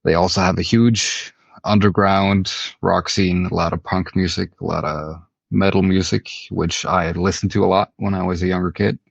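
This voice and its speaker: A man's voice: deep voice, steady tone, normal speed, creaky, dry recording, dull audio. A man from Norway, a band member answering interview questions in a rambling way and repeating words as he thinks.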